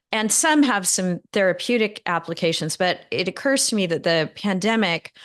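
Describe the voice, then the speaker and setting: A woman's voice: on the low side, a bit bright, clean tone. Rambling middle-aged woman host. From Northern California. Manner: rambling, many fillers and restarts. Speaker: podcast host. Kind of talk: interview conversation.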